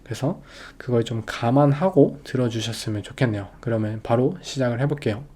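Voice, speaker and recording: A man with a congested, slightly hoarse voice from a cold, giving analytical sports review commentary as a host, explaining things with English jargon mixed in. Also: medium pitch, fairly quick, mellow. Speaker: podcast host analysing football games.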